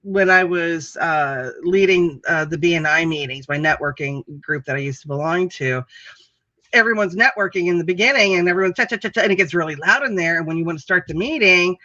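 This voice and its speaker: A woman's voice: on the low side, brisk, bright, forceful tone, dry recording, lo-fi sound. A woman co-hosting a casual online chat show, talking loosely, laughing often and cursing casually. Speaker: co-host. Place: home with animals behind her.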